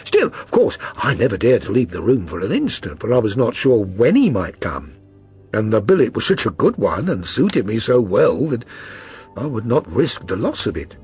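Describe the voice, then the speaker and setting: A man's voice: on the low side, sing-song, normal speed, darkish tone, slightly rough, faint music behind, pitched below the performer's own voice, full character voice. A man voicing an earnest, plodding middle-aged tradesman in an audiobook, telling his story in a deferential, rambling way. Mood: earnest, chatty. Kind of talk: audiobook character reading.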